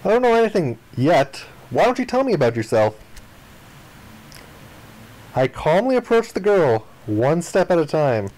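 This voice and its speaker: A man's voice: fairly high pitch, sing-song, measured pace, long pauses, a little mellow, poor audio. A man reading visual novel narration and dialogue aloud, acting out the lines as he goes. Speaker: gaming video player reading aloud.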